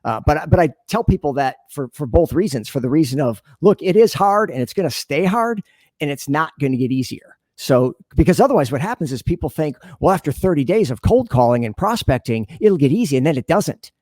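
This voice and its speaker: A man's voice: fairly high pitch, big pitch swings, a little fast, darkish tone, dry recording. Man giving earnest interview answers, close dry sound. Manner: earnest explaining, acts out imagined dialogue. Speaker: podcast guest, sales leader and business consultant.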